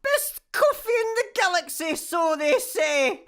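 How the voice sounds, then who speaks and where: A Scottish woman playing a cheeky, deadpan petrol station attendant in a sci-fi comedy radio play, dry and sarcastic. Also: extremely high pitch, unhurried, full character voice. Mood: chatty, dry. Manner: dry, self-aware, sarcastic.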